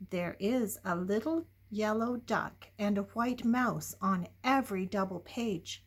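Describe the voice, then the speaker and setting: A woman's voice: mid-range voice, a little slow, breathy edge. An older woman doing a playful, dramatic read-aloud of a picture book, putting questions to young listeners. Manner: dramatic, shifting between hushed whispers and loud exclamations. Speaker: children's story reader.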